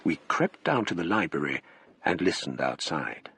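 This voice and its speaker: An actor playing a literary gentleman narrating an eerie tale in a radio drama, with a measured, formal manner. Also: low voice, big pitch swings, slightly rough, full character voice. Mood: suspenseful, grave. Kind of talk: scripted first-person radio drama narration.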